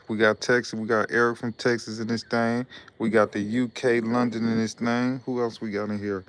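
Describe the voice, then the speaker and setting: Laid-back man, smooth steady voice. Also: mid-range voice, normal speed. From Detroit, USA. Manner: loose and repetitive, reading out viewer comments. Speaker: live stream host. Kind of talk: casual live-stream chat with viewers.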